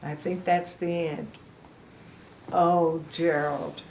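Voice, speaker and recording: An older woman reading a picture book aloud to children, expressive, with chatty asides to the listeners. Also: low-pitched, takes it slowly, gravelly, slight background noise. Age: older, a grandmother.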